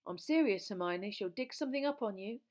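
A woman's voice: mid-range voice, expressive, a little fast, darkish tone, pitched above the performer's own voice, close to an everyday voice. A woman reader plays an anxious teenage boy from a storybook. He sounds nervous and worried, as if cautioning a friend.

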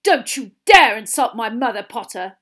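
A woman's voice: fairly high pitch, lively intonation, normal speed. A woman voicing a spiteful, snobbish teenage boy bully who taunts with a sneer, in a storybook reading. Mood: indignant.

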